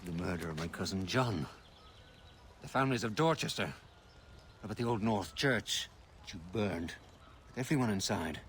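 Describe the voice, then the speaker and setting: An actor playing a stern rebel leader with a harsh, commanding manner. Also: male voice, on the low side, expressive, moderate pace, long pauses, fairly bright tone, slight background noise. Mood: angry.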